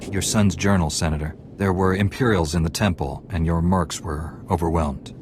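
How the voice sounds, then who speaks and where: Low-pitched, steady young knight played by a man. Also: normal speed, background noise, lightly acted. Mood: calm, businesslike. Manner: calm, earnest, dutiful. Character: earnest, steady young male Jedi knight. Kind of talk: video game character acting. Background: music.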